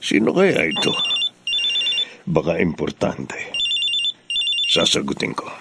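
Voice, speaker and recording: A man's voice: rumbling low, huge pitch swings, slow pace, creaky, very noisy, full character voice. A man playing a stubborn, suspicious middle-aged widowed father in a Filipino radio drama. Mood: suspicious, uneasy.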